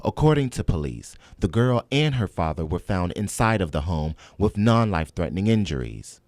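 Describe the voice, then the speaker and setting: Male American news reporter, scripted narration, animated intonation, close dry sound. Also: low-pitched, moderate pace, dry recording.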